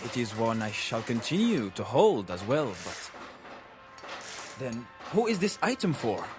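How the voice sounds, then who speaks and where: An actor playing a courteous, eccentric, wistful inventor in an audio drama, speaking formally and hesitantly. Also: male voice, medium pitch, sing-song, measured pace, over music. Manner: formal, hesitant, fussy wording.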